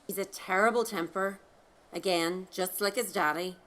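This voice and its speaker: A woman playing a quick-witted, unflappable Irish wife, wry and matter-of-fact, in an audio drama legend. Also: mid-range voice, fairly bright tone, lightly acted. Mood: mock scolding.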